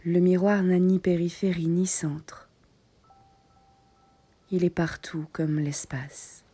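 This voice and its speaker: A woman guiding a meditation in soft, breathy short phrases with long pauses, often close to a whisper. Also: lowish voice, steady tone, soft background music. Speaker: yoga nidra meditation guide. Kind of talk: guided meditation.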